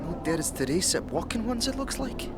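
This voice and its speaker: An actress playing a scornful young fantasy street woman, sarcastic and full of rough slang, in an audio drama. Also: medium pitch, very animated, fast, music in the background. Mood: muttering, curt.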